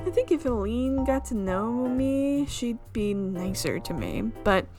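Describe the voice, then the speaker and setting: A woman streamer reading a visual novel aloud in an expressive storytelling way, with asides. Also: on the high side, a little slow. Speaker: video game streamer. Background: game music.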